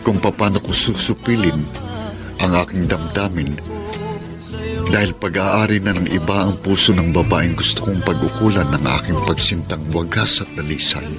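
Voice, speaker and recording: A man's voice: low-pitched, normal speed, over music, natural voice. A man acting a shy, lovesick bachelor in a Filipino radio romance drama. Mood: pining. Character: lovesick, shy, devoted bachelor, male best friend.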